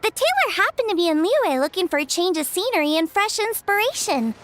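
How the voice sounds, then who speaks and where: A woman's voice: very high voice, very animated, brisk, fairly bright tone, exaggerated voice. A woman playing a sweet, caring young girl in an English video game dub, with a youthful, earnest, friendly delivery. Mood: cheerful. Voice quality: youthful, girlish.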